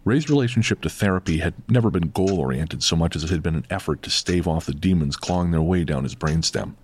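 Very low-voiced male horror narrator. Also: lively intonation, quick pace, slight background noise. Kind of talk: scripted horror audio drama narration.

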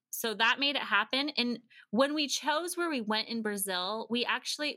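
A woman's voice: a little high, dry recording. A middle-aged woman telling stories in a casual, chatty interview style, as a podcast guest. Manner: chatty, animated retelling of exchanges in quoted dialogue. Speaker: podcast guest sharing family travel experience. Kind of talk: casual conversational storytelling.

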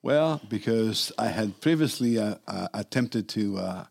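A man in his sixties from Greece, with a fairly low voice. He is a guest on a podcast and tells his story in a relaxed way, chuckling now and then. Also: a little slow, creaky. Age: 60s. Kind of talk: interview storytelling.

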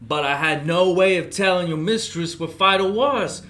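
A woman's voice: on the low side, moderate pace, a bit bright, lightly acted. Woman doing a big, earnest, friendly storybook dog. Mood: concerned, agitated. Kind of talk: children's storybook reading.

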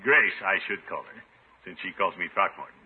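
Blustery, swooping pompous uncle on old radio. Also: male voice, low voice, animated, fairly quick, slightly rough. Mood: cheerful, flustered. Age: middle-aged. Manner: blustery, self-satisfied, theatrical chuckling. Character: pompous, excitable middle-aged uncle, a small-town water commissioner. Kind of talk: 1950s radio sitcom acting. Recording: tinny, hissy 1950s radio recording.

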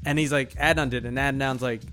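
A man's voice: mid-range voice, steady tone, quick pace, fairly bright tone, faint music behind. A man hosting a podcast, talking casually and emphatically, arguing his point by acting out imagined dialogue. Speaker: podcast host. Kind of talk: casual opinionated podcast discussion.

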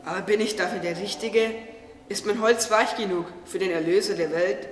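High-voiced, earnest talking tree played by a man. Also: fairly even intonation, normal speed, a little hiss or hum, natural voice. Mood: doubting, questioning. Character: young earnest humble talking tree, self-doubting yet devoted. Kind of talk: German radio play acting. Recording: echoey hall, distant from mic.

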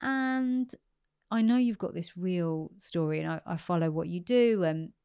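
A woman's voice: lowish voice, a little slow, mellow, clean tone, no echo, clean studio sound. A woman hosting an interview podcast, talking in a chatty, encouraging way with frequent fillers. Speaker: podcast host.

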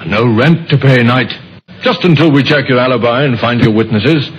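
An actor playing a shrewd, stern police inspector in an old-time radio mystery, with a dry, measured, insinuating manner. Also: male voice, mid-range voice, lightly acted. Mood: dry, suspicious. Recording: muffled, noisy vintage radio recording.

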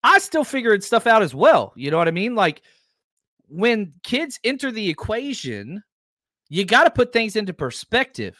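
A man in his forties with a high, lively voice that swings up and down, hosting a sports podcast. He speaks emphatically and drives his points home by repeating himself. The sound is dry and close. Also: moderate pace, no echo. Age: 40s. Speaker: podcast host.

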